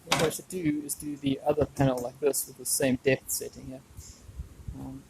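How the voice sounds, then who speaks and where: Gravelly-voiced man thinking aloud. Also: mid-range voice, little pitch movement, moderate pace, poor audio. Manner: unscripted, thinking aloud while working. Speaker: DIY woodworking video maker. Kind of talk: casual hands-on workshop commentary. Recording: clear background noise with clicks.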